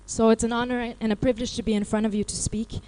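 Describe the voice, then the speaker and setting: A woman's voice: on the high side, little pitch movement, quick pace, mellow, slight background noise, poor audio. A woman from Los Angeles with an American accent, giving a live workshop talk and questioning the audience. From Los Angeles, California. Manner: interactive, asks the audience questions. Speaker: IT support advisor presenting.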